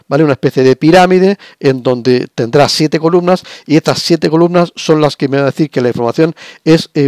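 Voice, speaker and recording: Hoarse older lecturer, dry sound. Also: male voice, a little high, a little mellow, no room sound. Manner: explanatory, repetitive, many fillers and restarts. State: has a cold, slightly hoarse. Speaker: university lecturer. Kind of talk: recorded lecture over slides.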